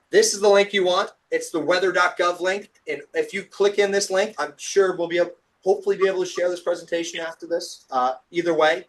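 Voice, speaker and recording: Hoarse high-voiced male weather presenter. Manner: energetic explanatory delivery with casual fillers. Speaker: TV meteorologist giving a talk. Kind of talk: presentation lecture.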